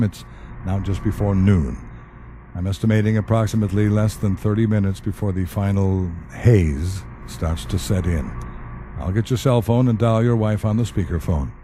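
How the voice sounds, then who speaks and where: An actor in an audio play playing a stern, composed handler to a dead spy, with a measured, formal and dry delivery. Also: male voice, deep voice, fairly even intonation, warm tone, slight background noise, lightly acted. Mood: matter-of-fact. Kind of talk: audio drama dialogue.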